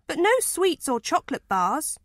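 A woman's voice: high-pitched, very animated, moderate pace, dry recording, studio quality. A woman voicing an outspoken, emphatic young schoolgirl in a wartime storybook. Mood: disappointed.

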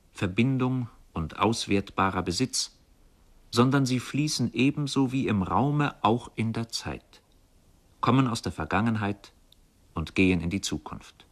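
A man reading literary radio narration in a measured, solemn manner. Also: fairly low pitch.

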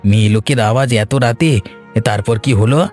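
A man's voice: medium pitch, normal speed, a little mellow, natural voice. A man voicing a curious, concerned village neighbour in a cartoon, full of questions, with music behind. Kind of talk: Bengali cartoon voice acting.

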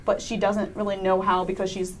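A woman's voice: mid-range voice, little pitch movement, fairly quick, rough recording. A teenage woman, a student actor, answering casually and informally in a panel interview. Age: teens. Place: small indoor studio. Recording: faint background room noise.

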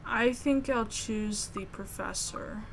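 Expressive woman reading a game aloud. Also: medium pitch, slow pace, vocal fry, very noisy. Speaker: gamer reading a visual novel aloud. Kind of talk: narration with casual commentary.

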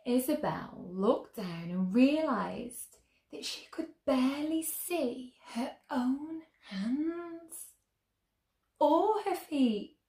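Young woman, children's story read-aloud, higher voice, very lively intonation, gentle teacherly asides, indoor room. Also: very slow. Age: young adult. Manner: expressive, gently questioning, addressing young children. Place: small room at home, indoors. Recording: roomy indoor echo.

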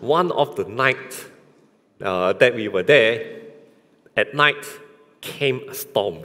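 A man in his thirties with a Malaysian accent preaching a church sermon in short, halting, colloquial phrases, pausing for an interpreter. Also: mid-range voice, animated, fairly bright tone. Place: large church hall, indoors, on stage. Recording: echoey hall with long reverb.

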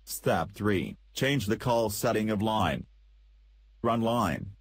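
A man with a low, rough-edged voice narrates a software tutorial from a script. He gives instructions step by step, and the sound is dry and close, with little room in it. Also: lively intonation, takes it slowly, no room sound.

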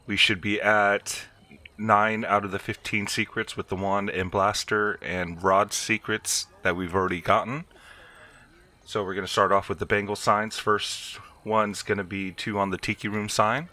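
A man's voice: lowish voice, little pitch movement, moderate pace, pushed and bright. A man hosting a video game walkthrough, explaining each step in an instructional way. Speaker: gameplay video host.

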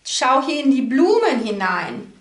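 A woman giving an unscripted card reading to the viewer, thinking aloud haltingly and adding small tag questions. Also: sits high, sing-song. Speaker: tarot card reader, host. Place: indoor room at a table. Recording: roomy echo.

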